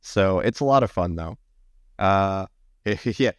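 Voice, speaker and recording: Man, low voice, slightly hoarse, rambling livestream chat, dry close sound. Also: expressive, slow pace, no echo. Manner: rambling with many restarts and fillers. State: slightly hoarse after three hours. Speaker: livestreamer and AI art tutorial maker. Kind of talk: casual livestream chat to viewers.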